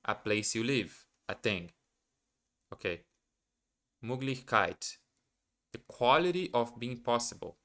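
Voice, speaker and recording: Slow, bright-voiced man thinking aloud. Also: mid-range voice, lively intonation. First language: Portuguese. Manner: hesitant, self-correcting, muses aloud. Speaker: language learner streaming his study. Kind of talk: thinking aloud while studying.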